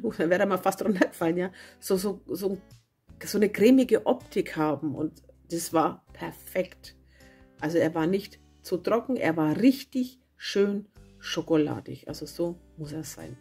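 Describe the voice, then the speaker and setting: Woman, husky voice hoarse from a cold, casual chatty kitchen voice-over, faint guitar music behind. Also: fairly low pitch, lively intonation, unhurried. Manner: chatty, self-correcting, homely. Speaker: cooking channel host. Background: soft guitar music.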